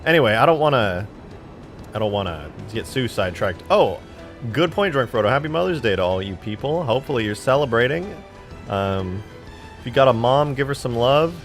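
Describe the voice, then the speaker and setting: A man's voice: medium pitch, expressive, brisk, faint music behind. A man in his twenties chatting casually on a live stream while modding a game, rambling, joking and swearing freely. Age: 20s.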